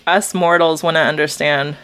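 A woman's voice: deep voice, fairly even intonation, a bit bright. Playful woman in her forties. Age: 40s. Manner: upbeat, playful, quick to laugh along. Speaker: podcast co-host. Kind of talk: casual chat.

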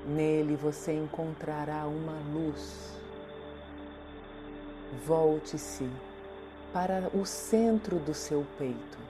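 A woman with a Brazilian accent narrating a guided meditation in a soothing, deliberate way, leaving long pauses. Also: lowish voice. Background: meditation music.

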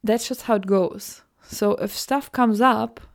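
A woman with a German accent, a native German speaker, talking gently in a solo spiritual podcast and dropping into soft whispered asides. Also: fairly high pitch, slow. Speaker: podcast host.

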